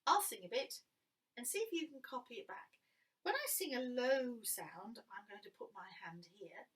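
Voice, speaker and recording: A woman with an English accent, teaching young children to sing in a gentle, encouraging way. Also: a little high, big pitch swings, normal speed. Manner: gentle, encouraging, sings phrases for copying. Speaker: music teacher. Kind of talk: children's singing lesson.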